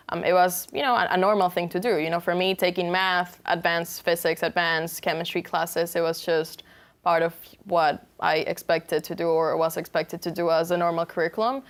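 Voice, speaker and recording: A woman's voice: lowish voice, clean tone. A young woman from Venezuela, a student guest answering interview questions earnestly, with many fillers and restarts. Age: young adult.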